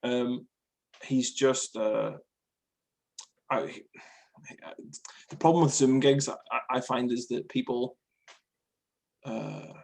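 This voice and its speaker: Gravelly-voiced man chatting about comedy. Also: medium pitch, fairly even intonation, slow, pauses often, darkish tone. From Northern Ireland. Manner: rambling, laughs often, repeats words. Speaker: guest, stand-up comic. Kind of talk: casual video-call chat.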